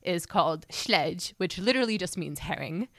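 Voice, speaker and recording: A woman's voice: on the low side, animated, moderate pace, fairly bright tone, no room sound. Young woman explaining firmly, dry close sound. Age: young adult. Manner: opinionated, corrective, explanatory. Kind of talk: casual podcast chat.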